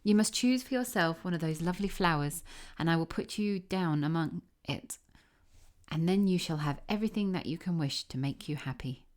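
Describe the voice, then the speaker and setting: A woman's voice: lowish voice, expressive, moderate pace, lightly acted. A woman voicing a friendly, grateful male swallow in a storybook, earnest and coaxing. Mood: kindly.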